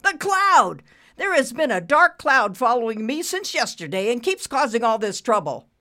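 A woman's voice: mid-range voice, big pitch swings, unhurried, fairly bright tone, voice pushed up. A woman voicing a rude, arrogant male bank boss in an audiobook, barking and dismissive. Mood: angry, shouting. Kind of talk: audiobook character voice.

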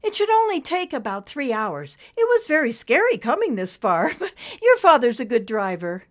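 A woman reading aloud, voicing an anxious older grandmother who pleads in a high, wavering voice. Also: sing-song, normal speed. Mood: forced cheer. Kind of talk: storybook character voice.

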